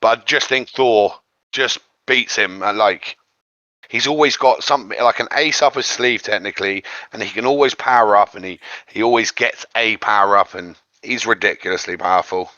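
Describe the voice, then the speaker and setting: Bright-voiced man, dry dull recording. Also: on the low side, moderate pace, no room sound. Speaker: co-host of a geek discussion show. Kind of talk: casual chat about comic-book fiction.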